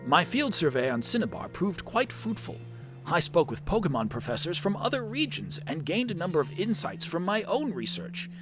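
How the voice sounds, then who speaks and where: A man's voice: high-pitched, big pitch swings, normal speed, music in the background. A man voicing an older professor who narrates a monster adventure game in scripted storytelling lines. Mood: measured, storytelling.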